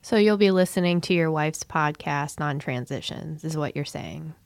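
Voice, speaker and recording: A woman's voice: sits low, normal speed, creaky, no echo. A woman co-hosting a podcast, chatty and upbeat, introducing the episode and its guest. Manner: chatty and upbeat, laughs easily. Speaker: podcast co-host. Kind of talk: casual podcast chat.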